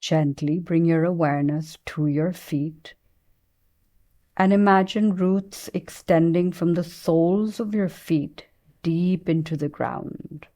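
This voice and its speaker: A woman leading a guided meditation in a soothing, gentle manner, with long unhurried pauses. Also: fairly low pitch.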